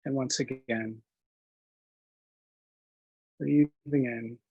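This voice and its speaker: Slow, husky man guiding meditation. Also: medium pitch, fairly even intonation, narrow, tinny audio. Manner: gentle, unhurried, with long pauses. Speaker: meditation instructor. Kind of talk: guided meditation.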